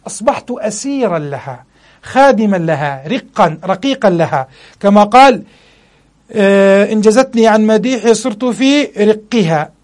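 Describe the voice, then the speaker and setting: A man with a high voice gives a religious lesson on a classical poem. He preaches with fervor, piles up rhetorical questions and slows down to recite each verse. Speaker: Islamic scholar teaching a classical poem. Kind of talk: religious lesson explaining poetry.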